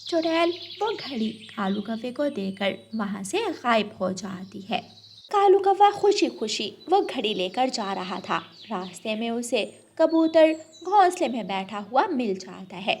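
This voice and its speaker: A woman's voice: fairly high pitch, sing-song, a little slow, slight background noise. A woman reading scripted narration for a children's story as its narrator.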